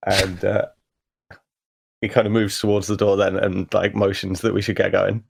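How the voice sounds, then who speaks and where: A man's voice: low voice, level tone, normal speed, gravelly. A man playing a tabletop game, chatting casually about his character, on a noisy recording.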